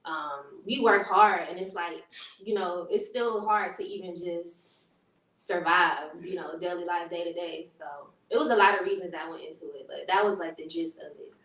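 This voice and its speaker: A woman from Queens, New York, a guest talking thoughtfully and with many pauses in a casual conversation. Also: lowish voice, fairly bright tone, far from the mic. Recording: roomy sound from off mic.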